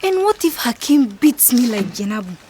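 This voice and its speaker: A woman's voice: on the high side, sing-song, normal speed, mellow, a little hiss or hum. A woman playing a thoughtful, unsure young wife in a radio drama, telling her story in a reflective, sincere way. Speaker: actor narrating and playing the main character. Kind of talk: first-person radio drama narration and dialogue.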